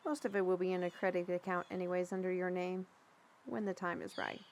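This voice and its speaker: A woman's voice: fairly low pitch, expressive, fairly quick, darkish tone, a little hiss or hum. Woman acting a folksy fantasy storyteller. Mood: explanatory. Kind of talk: fantasy audio roleplay, storytelling.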